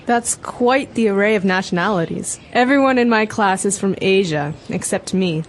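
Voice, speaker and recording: Woman reading a dialogue, measured. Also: mid-range voice, slight background noise. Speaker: student in scripted dialogue. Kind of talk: read scripted dialogue.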